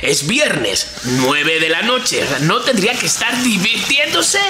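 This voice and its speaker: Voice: mid-range voice, sing-song, slow. An actor playing a fussy, grumbling library caretaker in a radio soap opera, exclamatory and easily flustered. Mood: resigned, chatty. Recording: echoey room with loud noise.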